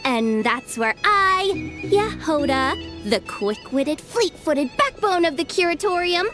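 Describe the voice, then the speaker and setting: Young girl adventurer for a game: high voice, slow pacing, youthful and theatrical, over music. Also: animated. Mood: boastful. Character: Yehoda, boastful, quick-witted young girl of a curatorium. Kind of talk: video game voice acting.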